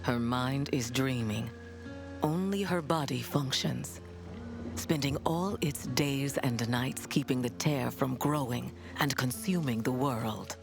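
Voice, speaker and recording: A very low-voiced coven witch, solemn and weary. Her delivery is formal and measured, as in a fantasy game, with clear music behind her. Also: full character voice. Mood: grave.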